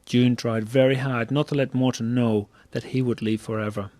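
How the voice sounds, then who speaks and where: A male storyteller reads scripted narration in a medium-pitched voice. The recording is close and dry, with no room sound. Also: fairly even intonation, moderate pace, mellow, no echo.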